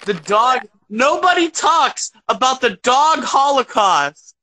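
A man's voice: very high voice, sing-song, slow, sharp tone. A young man co-hosting a movie review podcast from his bedroom, laughing often and protesting in mock outrage. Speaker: podcast co-host. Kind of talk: casual podcast banter. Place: home bedroom.

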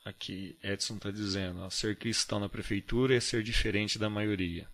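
Brazilian podcast host, bright voice, polite, wraps things up. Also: male voice, lowish voice, fairly even intonation, normal speed. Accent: Brazilian Portuguese. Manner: brief affirmations, polite outro. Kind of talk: podcast hosting.

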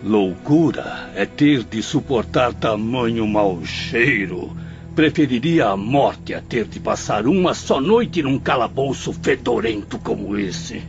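A man playing a haughty, disgusted gentleman in a radio drama, complaining indignantly in a drawn-out way. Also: medium pitch, animated, muffled recording, over music.

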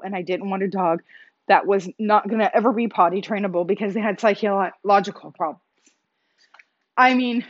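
Loud chatty lady doing a solo podcast, kinda high voice, dull lo-fi sound. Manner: chatty and emphatic, with many restarts. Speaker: podcast host. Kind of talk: casual solo podcast monologue.

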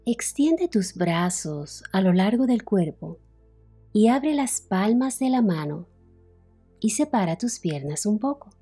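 A woman leading a guided relaxation as a meditation instructor, soothing and unhurried, with long pauses between phrases. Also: medium pitch, big pitch swings, dark tone, breathy edge, soft background music. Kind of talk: guided relaxation, yoga nidra narration.

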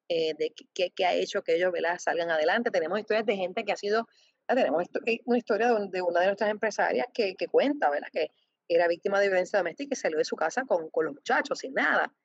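Woman guest, lowish voice, halting with fillers, dry muffled audio. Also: no room sound. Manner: hesitant, many fillers and restarts. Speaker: foundation representative, guest. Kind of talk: interview answers. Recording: dull lo-fi dry sound.

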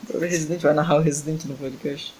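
A man's voice: on the high side, steady tone, quick pace, crowd noise, poor audio. A young man hosting a casual podcast, reading a fanfic aloud and joking, tired and exasperated late at night. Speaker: podcast host.

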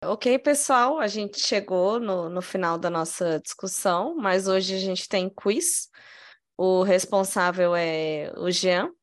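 Slow-talking woman steering the show. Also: mid-range voice. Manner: chatty, eager questioning. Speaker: podcast co-host leading segments. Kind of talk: casual podcast chat.

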